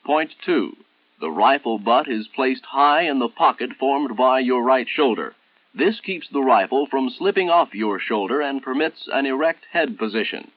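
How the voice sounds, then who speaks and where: An American man narrating a 1960s military training film in a formal, measured, instructional manner, on an old film soundtrack. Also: mid-range voice, lively intonation, no room sound, phone-quality sound, lightly acted.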